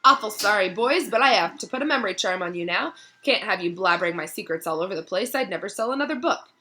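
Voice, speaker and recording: A vain middle-aged wizard professor from a storybook, acted by a woman, pitched up and projected brightly. He blusters and hems, pompous and slippery in every phrase. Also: animated, fast talker, slight background noise. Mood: smug.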